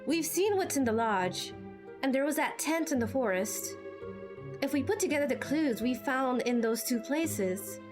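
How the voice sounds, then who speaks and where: A woman's voice: sits high, lively intonation, a little slow, over music. A woman voicing a composed, analytical young female trainee fighter, thoughtful and explanatory, in amateur game dubbing.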